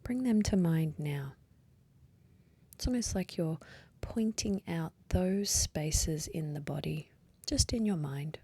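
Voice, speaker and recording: Slow, breathy woman guiding meditation. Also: deep voice, animated, no room sound. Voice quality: soft, breathy, partly whispery. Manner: gentle, unhurried, with long pauses. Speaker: meditation guide. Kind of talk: guided meditation, slow calm instruction.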